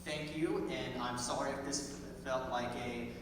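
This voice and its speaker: A teenage male theater student giving an informal, slightly halting monologue on stage. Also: mid-range voice, fairly even intonation. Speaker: high school theater student. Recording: echoey hall, distant mic, clear background noise.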